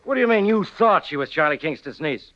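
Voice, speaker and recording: Actor as grumbling, higher-voiced 1940s radio cop. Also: male voice, expressive, a little fast, lightly acted. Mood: skeptical. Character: irritable, grumbling male police detective. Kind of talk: 1940s radio crime drama acting. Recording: dull 1940s radio recording.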